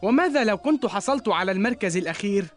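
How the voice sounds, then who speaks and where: A woman voicing an earnest, polite schoolboy in a children's cartoon, speaking expressive formal Arabic. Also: medium pitch, rapid-fire, faint music behind, close to an everyday voice. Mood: happy, grateful. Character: earnest, polite young schoolboy, easily downcast.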